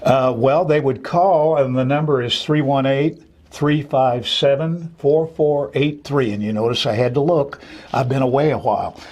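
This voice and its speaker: A man's voice: mid-range voice, steady tone, normal speed, a little mellow. Older man chatting as interview guest. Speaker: interview guest, theater director and teacher. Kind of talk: unscripted interview conversation.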